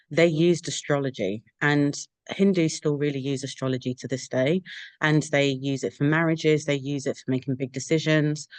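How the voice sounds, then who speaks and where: A woman's voice: low voice, a little mellow, dry recording. A woman hosting an interview podcast, who asks long, rambling questions with fillers. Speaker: podcast host.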